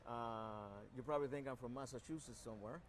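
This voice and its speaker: A man's voice: medium pitch, a little fast, a little hiss or hum. A man originally from Cuba hosting an interview at an outdoor air show, speaking casually with frequent fillers. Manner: affirming, hesitant with fillers. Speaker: interview host.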